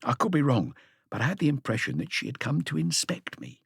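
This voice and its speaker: An earnest police inspector in his thirties, voiced by a male narrator, gives a careful, deferential report in a detective audiobook. His delivery is animated and faintly husky, in a dry recording. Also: on the high side, fairly quick, dry recording, full character voice. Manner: detailed, deferential reporting with asides. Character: thirty-five-year-old male police inspector, earnest, eager, slightly awkward.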